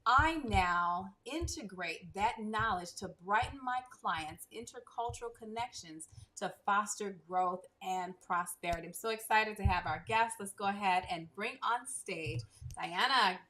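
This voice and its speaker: Woman host, mid pitch, enthusiastic, reads a bio with asides, video call audio. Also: a little slow, sharp tone, low-quality recording. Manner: enthusiastic, adds asides while reading. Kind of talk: reading a guest bio and hosting.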